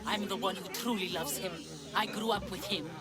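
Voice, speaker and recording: A woman's voice: medium pitch, steady tone, moderate pace, over a noisy crowd, natural voice. A woman playing a scheming, possessive alchemist in a video game, with a condescending, theatrical and taunting manner. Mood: smug, possessive. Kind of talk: video game cutscene acting.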